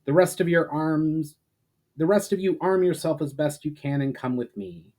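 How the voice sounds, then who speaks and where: A man's voice: a little high, normal speed, mellow, pitched above the performer's own voice, close to an everyday voice. A man voicing a resolute elven prince, giving urgent orders in an authoritative, declamatory way for a fantasy story reading. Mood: commanding, urgent. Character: commanding elven prince rallying his fighters, urgent and resolute.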